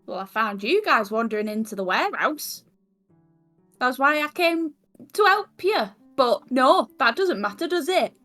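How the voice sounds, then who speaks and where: A woman's voice: high voice, animated, moderate pace, pushed and bright, faint music behind. A woman playing a tabletop game, speaking guardedly in character and narrating her actions. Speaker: tabletop player.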